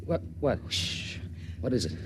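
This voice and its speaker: An actor playing an earnest young ship attendant who speaks in hushed, urgent tones in a 1950s radio drama. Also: male voice, measured pace, close to an everyday voice. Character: young male ship attendant, questioning and tender. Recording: faint hiss of an old radio broadcast.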